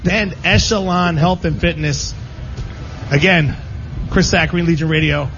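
A man's voice: fairly high pitch, steady tone, measured pace, fairly bright tone. A man hosting a live sports radio show, bantering and rolling through long introductions. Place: live remote broadcast at a casino. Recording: dull lo-fi broadcast with faint background noise.

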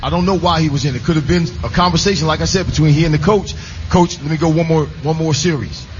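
A man co-hosting a sports radio show, measured and a bit hesitant. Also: a little high, a little hiss or hum. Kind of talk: casual sports talk. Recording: muffled recording.

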